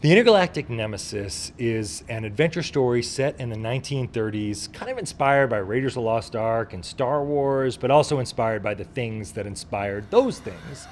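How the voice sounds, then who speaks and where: A man gives a casual, enthusiastic interview as a creative guest. His pitch sits in the middle of the male range and his phrasing is informal and conversational. There is noticeable ambient noise behind him. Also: moderate pace, hardly any pauses. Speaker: writer and producer, interview guest.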